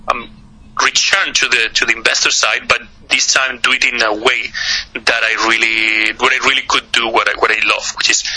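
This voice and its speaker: A man from Spain with a Spanish accent, a guest answering interview questions over a voice call app, often halting and repeating words. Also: medium pitch, sharp tone, poor audio. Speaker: guest, accelerator partner.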